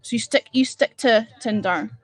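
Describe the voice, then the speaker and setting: A woman's voice: on the high side, moderate pace, soft background music. A woman from Edinburgh with a Scottish accent, hosting a casual live stream and chatting bluntly and exasperatedly with viewers, swearing freely. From Scotland. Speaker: livestream host.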